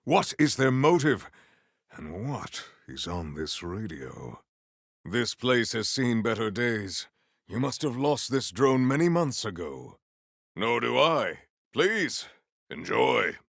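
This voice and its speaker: An actor playing a noble, stern warrior in a video game, speaking with formal, grandiose deadpan deliberation. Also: male voice, mid-range voice, slow, vocal fry, dry recording, studio quality, exaggerated voice. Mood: measured, formal. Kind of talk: theatrical video game character acting.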